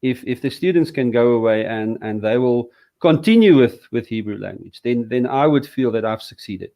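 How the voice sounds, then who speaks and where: A man from South Africa, a Hebrew teacher, talking in a relaxed interview with lots of "um"s and restarts. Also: mid-range voice, normal speed. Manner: hesitant, many fillers and restarts. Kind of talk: casual interview conversation.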